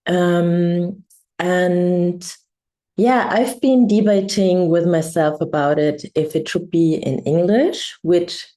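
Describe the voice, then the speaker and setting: A German woman with a German accent, a coach, introducing herself in a reflective, hesitant vlog with many pauses and ums. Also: fairly low pitch, dark tone. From Bavaria, Germany. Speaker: embodiment coach.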